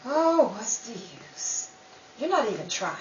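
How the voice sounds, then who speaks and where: A woman's voice: on the high side, big pitch swings, takes it slowly. A woman playing an anxious, sentimental housewife in a 1930s-style radio drama, earnest and pleading, easily exasperated. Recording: dull, roomy, distant, with faint noise.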